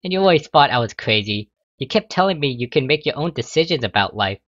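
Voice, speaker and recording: Unicorn mother, voiced by a male reader: calm, cooing, reassuring, for a cartoon pony story. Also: mid-range voice, fast talker, dry recording, highs cut off, lightly acted. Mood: teasing. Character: doting, calm unicorn mother and school official.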